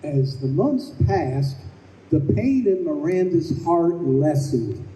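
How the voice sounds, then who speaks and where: A man narrating a scripted old-time radio drama in a measured, solemn storytelling manner. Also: fairly high pitch, animated, mellow, a little hiss or hum. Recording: echoey live stage, distant mic.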